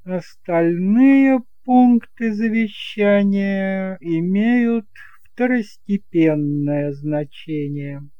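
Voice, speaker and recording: Man acting a slow, bilious old notary. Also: high-pitched, clean tone, no room sound, dull audio, voice pushed up. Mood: officious, stern. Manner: slow, emphatic, formal. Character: bilious old male notary, dry and officious. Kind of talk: audiobook drama acting.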